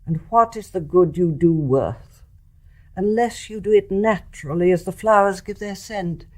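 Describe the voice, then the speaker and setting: An older woman with an English accent playing a wise, composed, forgiving mother-in-law in a radio drama, measured and frank. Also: mid-range voice, close to an everyday voice. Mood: reflective, frank. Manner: measured, articulate, gently frank. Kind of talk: stage-play radio drama acting.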